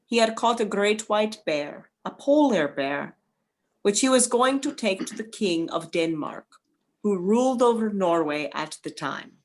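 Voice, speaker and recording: A woman's voice: medium pitch, animated, a little slow. A woman narrating a folktale from a script for an amateur radio play.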